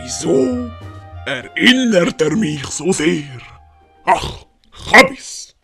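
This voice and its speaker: A woman putting on a bossy old great-uncle with a gravelly, creaky edge and a sharp bite to his words. He is gruff and barks orders in a slow, drawn-out way. Storybook music plays behind him. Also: fairly low pitch, sing-song, pitched below the performer's own voice, full character voice.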